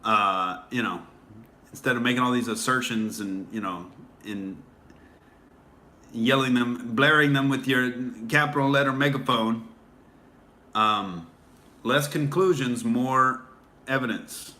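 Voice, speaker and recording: A man's voice: mid-range voice, unhurried, long pauses, bright, forceful tone. A man hosting a casual livestream, rambling with many fillers and restarts while answering viewer comments. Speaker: livestream host.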